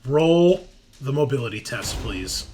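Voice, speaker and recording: A man running a tabletop game as game master, narrating casually and excitably with informal asides. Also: medium pitch, measured pace. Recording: music behind the voice. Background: background music.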